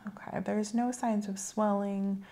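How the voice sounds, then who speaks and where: A young woman speaking softly in a gentle, measured, instructional way as a medical examiner in an ASMR roleplay. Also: mid-range voice, flat delivery. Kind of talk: soft-spoken ASMR clinical roleplay. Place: small room set up as an exam office.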